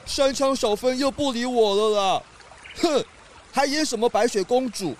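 A woman's voice: a little high, moderate pace, heavy background noise, close to an everyday voice. A woman voicing a sulky, tearful young troupe member who stammers in a children's audio drama. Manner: pouting, stammering.

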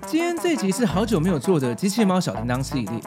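Podcast host: man, Taiwanese Mandarin accent, slightly high voice, highly expressive intonation, scripted narration. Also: fast, over music.

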